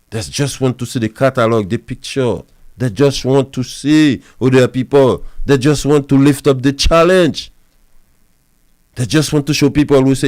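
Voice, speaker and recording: A man's voice: on the low side, a little mellow, clean tone, no room sound, clean studio sound. A man lecturing women on marriage as a pastor and counselor, repeating his points with emphasis. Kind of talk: preachy advice monologue.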